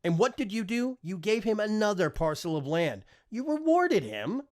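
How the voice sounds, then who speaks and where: A man's voice: high-pitched, very animated, normal speed, a little mellow, pitched above the performer's own voice. A man voicing a rebellious, exasperated young prince in an audiobook, indignant and argumentative. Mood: indignant, pleading. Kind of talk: audiobook character acting.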